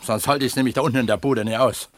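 An actor playing an older pump attendant who writes, proud, blunt and terse, in a 1970s East German radio drama. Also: male voice, mid-range voice, fairly even intonation, quick pace, a bit bright, lightly acted. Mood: resigned, stubborn. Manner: plain-spoken, terse.

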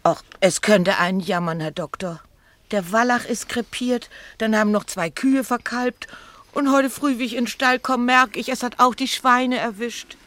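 An actress playing a timid, superstitious young farm maid in a German radio drama, hesitant, naive and deferential. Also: female voice, fairly high pitch, normal speed, fairly bright tone, no room sound, lightly acted. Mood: troubled, plaintive.

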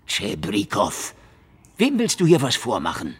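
Cynical noir detective, low voice. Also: male voice, huge pitch swings, unhurried, husky, exaggerated voice. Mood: sardonic, challenging. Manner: sardonic, world-weary, slangy. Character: cynical, hardboiled Soviet secret-police detective. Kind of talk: noir radio drama acting and narration.

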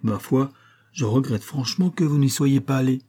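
Man doing a loud scheming giant, high booming voice, husky, dark-toned. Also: moderate pace, natural voice. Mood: boastful. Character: boastful sly male giant, loud.

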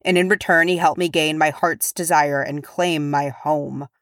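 A woman voicing a proud, brooding male dwarf warrior in a storybook, earnest and solemn, with a low voice. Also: fairly even intonation, moderate pace, no room sound, clean studio sound. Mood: remorseful.

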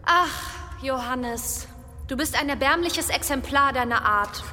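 A woman playing an undead young demonic tyrant in a German audio drama, taunting and commanding with deliberate menace. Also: high-pitched, animated, bright, forceful tone, big-room sound, full character voice. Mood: contemptuous. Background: dramatic music.

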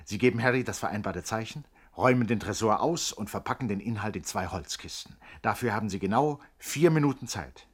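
An actor as the narrator of a 1960s German radio play, suspenseful and grandly mock-sensational. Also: male voice, on the low side, animated, brisk, slightly rough. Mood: suspenseful, precise.

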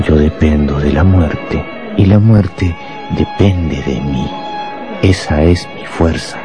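Fanatical professor, radio play: low voice, grandiose, calmly menacing, score underneath. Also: male voice, slow, over music. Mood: solemn, obsessed. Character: cold fanatical bomb-making professor, proud and deadly calm. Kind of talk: Spanish-language radio drama acting.

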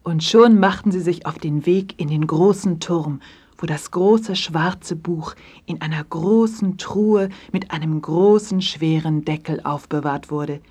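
Female storyteller, lowish husky voice, scripted narration, children's audio drama. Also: measured pace, a little mellow.

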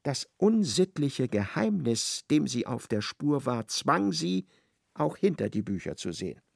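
Male narrator for a radio play, lively dramatic reading, gravelly voice, dry close mic. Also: medium pitch, measured pace, dry recording. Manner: expressive, dramatic storytelling.

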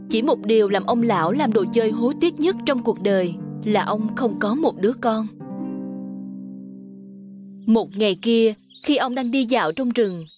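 A woman reading scripted narration for a children's fairy tale. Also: fairly high pitch.

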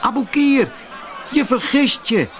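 A man's voice: very high-pitched, animated, takes it slowly, a faint crowd, a little hiss or hum, pitched above the performer's own voice, full character voice. Man as a gullible, earnest barber. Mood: shocked. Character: good-natured, gullible, hard-working male barber. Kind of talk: storybook character voice.

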